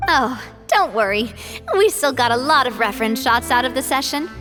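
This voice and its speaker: An actress playing a lively, talkative young woman who leads a group, in a game scene. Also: high-pitched, normal speed. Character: cheerful young woman gang boss. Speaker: leader of a group. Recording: game audio with music behind.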